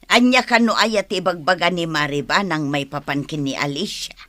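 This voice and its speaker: A woman's voice: on the low side, moderate pace, bright, forceful tone. An actress playing a sensible village woman who scolds a gossip in an Ilocano radio drama, earnest and reproving. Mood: puzzled.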